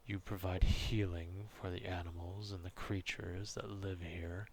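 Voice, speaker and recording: Man acting as gruff male forest beast, very low growl, unhurried pace, haughty, menacing, distrustful, ASMR roleplay. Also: level tone, a little hiss or hum. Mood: grudging, stern.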